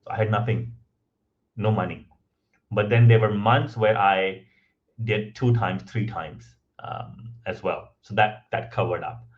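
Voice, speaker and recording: A man speaking English as a second language, guesting on a podcast and telling his story in a relaxed, conversational way, with many ums and "right?" tags. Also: on the low side, measured pace, lo-fi sound. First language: not English. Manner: frequent ums and restarts, tag questions of "right?".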